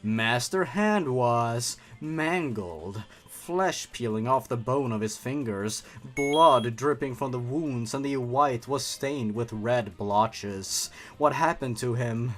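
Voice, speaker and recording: A man's voice: mid-range voice, slow pace, hardly any pauses, a bit bright, faint music behind. A man hosting with scripted narration and commentary, theatrical and sarcastic in manner. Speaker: YouTube host reviewing horror stories.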